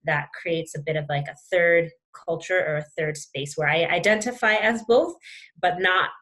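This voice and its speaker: Young woman, low voice, thinks out loud, lots of ums. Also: moderate pace. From Canada. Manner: thoughtful, many "um"s and restarts. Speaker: interview guest. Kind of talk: reflective conversation.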